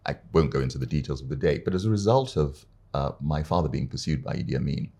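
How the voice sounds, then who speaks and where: An older man from Uganda, an experienced arbitrator, telling his life story as an interview guest in a measured, unhurried way. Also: a bass voice, mellow, breathy edge.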